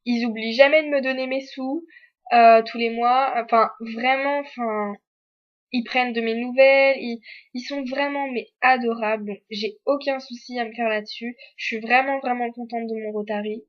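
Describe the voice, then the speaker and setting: A woman's voice: on the high side, steady tone, moderate pace, highs cut off. A teenage girl from France, an exchange student, chatting candidly to the camera with many fillers. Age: teens. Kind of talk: casual chat to camera.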